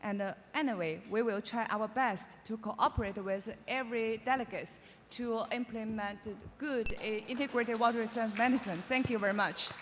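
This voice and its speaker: A woman's voice: a little high, moderate pace, fairly bright tone. A woman with a Chinese accent interpreting a formal speech into English at a conference. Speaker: consecutive interpreter. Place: large conference hall. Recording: reverberant hall, faint noise.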